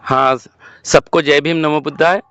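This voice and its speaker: A man with a high-pitched voice, caught in a dry, somewhat muffled recording. He gives earnest interview answers, deliberate and halting, often driving a point home with a rhetorical question. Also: fairly even intonation, fairly bright tone, clean tone, dry recording. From Madhya Pradesh, India. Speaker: protest participant being interviewed. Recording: dull lo-fi close recording.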